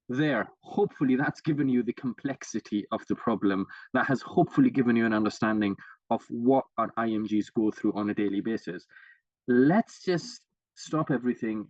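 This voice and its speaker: A man, giving a lively teaching talk as a doctor at grand rounds and putting rhetorical questions to his listeners. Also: mid-range voice, no room sound. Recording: dull, distant, dry online audio.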